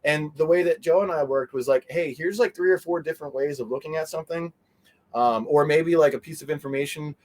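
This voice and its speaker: A man talking casually as an interview guest, telling things in a rambling, animated way with quoted asides. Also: a little high.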